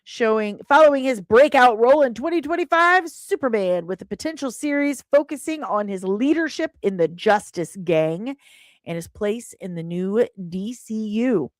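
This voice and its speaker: A woman's voice: fairly high pitch, expressive, normal speed, no echo. A woman hosting a casual entertainment livestream, chatting playfully and teasingly, often repeating phrases for emphasis. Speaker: livestream host. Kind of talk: casual livestream chat about entertainment news.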